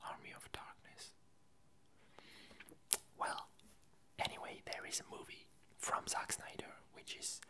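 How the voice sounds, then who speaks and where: Slow French-accented ASMR whisperer of unclear sex. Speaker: ASMR video creator. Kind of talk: whispered ASMR casual chat.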